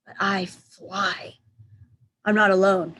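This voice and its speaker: A woman teacher reading a children's storybook aloud in expressive narration. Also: medium pitch, takes it slowly. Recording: clear background noise.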